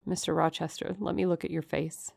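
A woman's voice: sits low, steady tone, a little fast, vocal fry, a little mellow, dry recording, studio quality, heavily acted. A woman voicing a devoted but doubting young woman in an audiobook, earnest, guarded and questioning. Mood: searching. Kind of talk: dramatic audiobook character reading.

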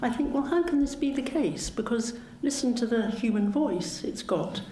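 A woman's voice: on the high side, animated, normal speed, mellow. A woman psychoanalyst interviewing, thoughtful and earnest, often affirming with short agreements. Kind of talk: conversational interview. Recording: roomy event recording with faint hum.

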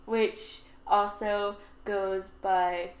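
A woman's voice: mid-range voice, flat delivery, very slow, echoey room. A woman co-hosting a podcast, chatting casually and hedging her thoughts with "like" and "I just feel". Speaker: podcast co-host. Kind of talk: casual chat.

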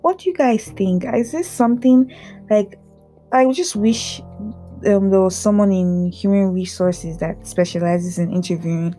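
A woman from Africa who stutters, hosting a candid personal podcast, talking in a halting way with frequent fillers and restarts. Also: mid-range voice, dark tone, soft background music, low-quality recording. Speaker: podcast host.

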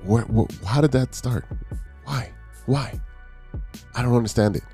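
A man's voice: mid-range voice, a little slow, dark tone, soft background music. A man hosting a casual sports podcast, sounding stuffed up and nasal from allergies, rambling and correcting himself as he gets hyped. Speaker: podcast host.

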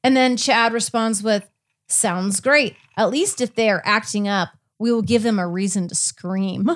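A woman's voice: mid-range voice, no echo. Casual woman podcaster, close dry sound. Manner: informal, filler-heavy, halting delivery. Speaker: podcast host. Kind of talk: casual chat recounting a court case.

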